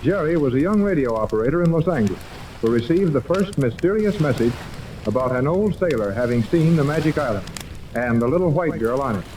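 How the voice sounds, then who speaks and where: An earnest man acting as announcer delivers scripted 1930s radio serial narration. He sounds slightly distant from the microphone, under clear crackle and hiss from an old recording. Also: mid-range voice, animated, fairly quick, close to an everyday voice. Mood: solemn.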